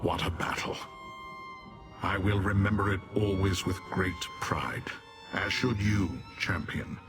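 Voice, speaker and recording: A man's voice: low-pitched, steady tone, a little slow, over music. A man voicing a proud, grave Daedric warrior in a fantasy game, speaking in a formal, declamatory way. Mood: proud, solemn. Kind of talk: scripted fantasy game acting.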